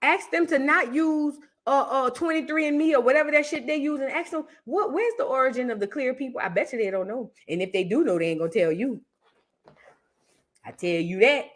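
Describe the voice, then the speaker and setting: A woman hosts a casual reaction stream, talking loudly and with emphasis, repeating her punchlines and calling on viewers by name. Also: sits high, a little fast. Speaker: livestream host reacting to history content.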